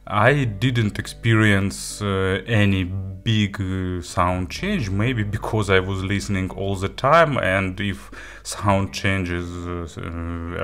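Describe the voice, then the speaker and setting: A man giving a casual spoken product review as an audio gear reviewer, in a halting way with frequent filler words. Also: low voice, a bit bright.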